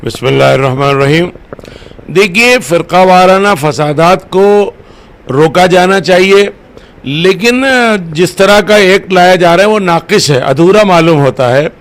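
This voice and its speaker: Male, high-pitched voice, religious leader, deliberate interview answers, occasional hesitations. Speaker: religious organisation president being interviewed.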